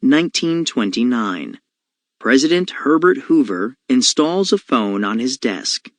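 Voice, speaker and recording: A man's voice: mid-range voice, big pitch swings, measured pace, no echo. A man reading short educational facts aloud as a narrator. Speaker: reader of captions and timeline.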